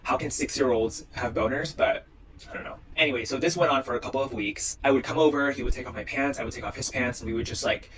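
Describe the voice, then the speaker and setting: A man's voice: medium pitch, quick pace, noisy background. A man from Indonesia talking casually to the camera in short social media clips, with a chatty style full of "like". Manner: chatty, heavy use of "like".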